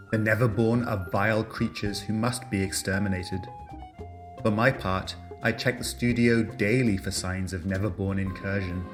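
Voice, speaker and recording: A man playing a formal radio show host in a fantasy world, addressing listeners directly in a measured, scripted announcing style. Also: lowish voice, natural voice. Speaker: announcer and host of a fiction broadcast. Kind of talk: scripted in-character radio announcing with sponsor read. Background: music behind the voice.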